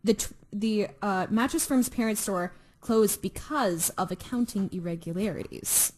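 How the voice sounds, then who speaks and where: A woman's voice: mid-range voice, normal speed, a little mellow, slight background noise. A woman chatting casually as a podcast co-host, in an informal, slangy way with some swearing. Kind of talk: casual chat.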